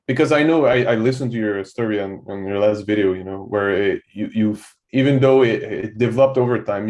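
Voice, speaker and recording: A man's voice: lowish voice, a little mellow. A young man from Quebec with a French-Canadian accent, French his first language, talking casually as a guest on a video call and rambling with lots of filler phrases. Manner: loose and rambling, fills with "so on and so forth". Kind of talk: casual podcast conversation.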